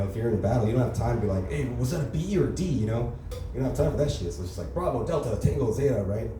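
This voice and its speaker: A young man doing open mic stand-up, crude and casual, tagging lines with "you know" and "right". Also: on the low side. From Los Angeles area. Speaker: stand-up comedian. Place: comedy club stage, heard from far off. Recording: echoey room, distant mic, audible noise.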